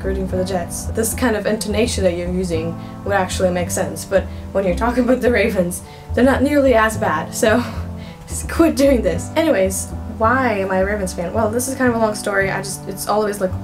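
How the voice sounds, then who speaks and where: A young woman from Russia whose first language is Russian, chatting casually to camera as a vlogger in a rambling way with lots of fillers. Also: mid-range voice. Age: young adult.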